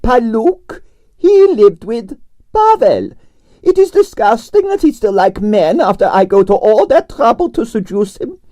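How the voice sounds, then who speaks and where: A man voicing a flamboyant aging Russian ballerina with a Russian accent and broken English, in a high, swooping, theatrical voice for an audiobook. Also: sing-song, measured pace, warm tone, no room sound, heavily acted. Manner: broken English, grand declarations.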